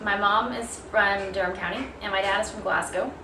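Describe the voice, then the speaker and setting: A woman's voice: medium pitch, steady tone, moderate pace, roomy sound, background noise. A young woman with a Canadian accent, chatting casually in a video blog and explaining things with plenty of fillers. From Toronto, Canada. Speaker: athlete video blogger.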